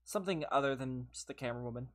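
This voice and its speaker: A woman's voice: rumbling low, very fast. A woman streaming a game live from her own room, chatting with viewers, laughing often and teasing them, tired and losing her voice. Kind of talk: casual live gameplay commentary with chat.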